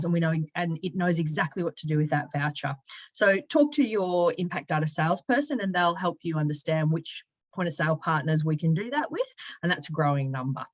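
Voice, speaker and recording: A woman narrating an informal software walkthrough, explaining each step conversationally as a product demonstrator. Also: on the low side, lo-fi sound. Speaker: product demonstrator, company sales and marketing director.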